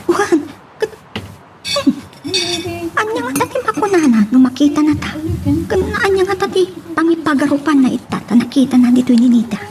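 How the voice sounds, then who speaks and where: Casting: actress as inquisitive, wary Ilocano friend in audio romance, high-pitched, faint noise behind. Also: female voice, expressive, normal speed, pauses often. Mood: curious. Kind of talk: Filipino radio-style romance drama acting.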